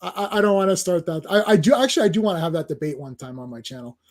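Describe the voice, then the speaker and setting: A man's voice: high voice, expressive, racing. A man hosting a casual comics livestream, chatting through a comic and greeting viewers in the chat.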